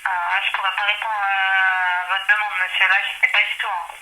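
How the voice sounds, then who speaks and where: A woman's voice: medium pitch, level tone, normal speed, pushed and bright. A woman working as a store customer service agent, answering politely and procedurally on an echoey, noisy phone line. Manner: polite, repeatedly deflecting to procedure.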